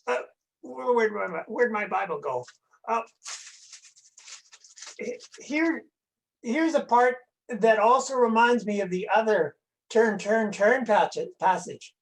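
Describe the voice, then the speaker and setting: Childlike dragon woman performer for a church puppet skit: slightly high, eager, playful, scatterbrained. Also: animated, takes it slowly, gravelly, long pauses, heavily acted, rough recording. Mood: flustered, hesitant. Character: childlike, excitable, easily distracted puppet dragon Bible scholar. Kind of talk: scripted children's church dialogue.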